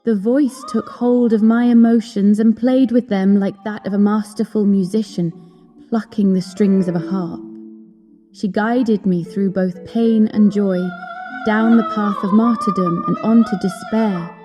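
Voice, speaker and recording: A woman playing a wistful young opera singer who recounts her story in an audio drama, in measured, earnest, literary phrasing. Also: medium pitch, steady tone, close to an everyday voice. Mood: entranced, wistful. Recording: long hall reverb, music behind.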